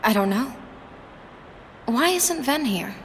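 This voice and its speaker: A woman's voice: a little high, lively intonation, slow pace. A woman playing a dutiful, earnest young warrior apprentice in a fantasy game cutscene, speaking politely. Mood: puzzled. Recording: long reverb, faint background noise.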